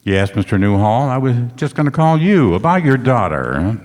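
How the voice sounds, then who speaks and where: A man's voice: mid-range voice, sing-song, moderate pace, darkish tone, lightly acted. A man acting a tough, streetwise private detective in a stage radio play, talking in clipped, wry hard-boiled slang. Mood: probing. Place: stage in a hall. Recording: echoey hall, music behind.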